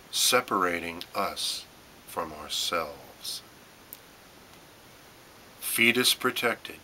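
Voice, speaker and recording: A man reading his own poetry as dramatic spoken word, in deliberate short phrases with pauses and emphatic stresses. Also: low-pitched, bright, forceful tone, slight background noise. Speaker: poet reading own work.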